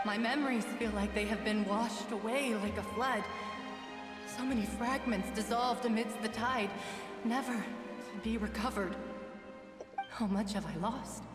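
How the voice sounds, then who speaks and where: Game casting: sorrowful female spirit woman acting, gentle and formal, very slow delivery in heavy hall reverb. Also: on the high side, close to an everyday voice. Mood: wistful. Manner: slow, wistful, formal speech. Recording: echoing hall reverb, music behind.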